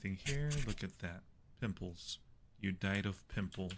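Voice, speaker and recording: A man's voice: deep voice, expressive, moderate pace. A man casually commenting on a game for his stream viewers, playfully muttering to himself and reading prompts aloud. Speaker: livestream host playing a horror game. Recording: clearly noisy background.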